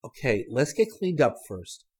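A hesitant, well-mannered teenage girl in a storybook, played by a male reader, pitched up from his natural voice. The speech is reserved and measured, with a faintly husky tone. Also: very animated, a little mellow.

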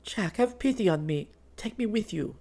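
A man's voice: sits high, lively intonation, moderate pace, warm tone, voice pushed up. A man voicing an anxious, affectionate young woman who pleads tenderly with her lover in an audiobook. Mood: anxious pleading. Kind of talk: audiobook character dialogue.

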